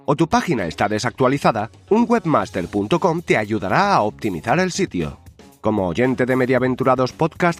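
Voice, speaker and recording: Lively male ad announcer, slightly husky. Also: mid-range voice. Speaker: advertisement announcer. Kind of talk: scripted promotional read. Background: faint music.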